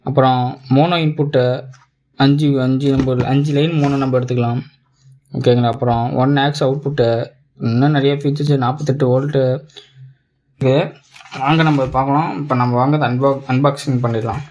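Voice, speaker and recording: A man's voice: mid-range voice, steady tone, brisk, pauses often, a little mellow. Tamil Nadu man, casual reviewer, noisy recording. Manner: colloquial, repetitive, step-by-step explaining. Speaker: tech video reviewer. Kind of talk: casual product unboxing and demo. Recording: clear background noise, clicks.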